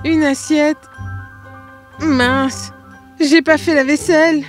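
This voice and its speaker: A woman's voice: high-pitched, fairly even intonation, slow pace, faint music behind. A woman voicing a frustrated teenage girl in a cartoon story, complaining and exclaiming. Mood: dismayed. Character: frustrated, whiny teenage girl struggling with grown-up chores.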